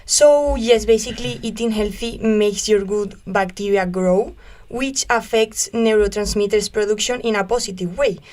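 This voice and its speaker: A woman's voice: medium pitch, normal speed, a little mellow. A young woman from Spain co-hosting a volunteer radio show, explaining nutrition facts with enthusiasm and easy laughs.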